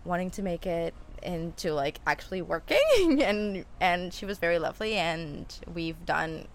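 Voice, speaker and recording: A woman's voice: on the low side, expressive, moderate pace. A woman from Venezuela who grew up in Toronto, a film producer chatting in a friendly, giggly way in an interview. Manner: friendly, giggly, agrees often with short yeahs. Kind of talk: casual interview conversation.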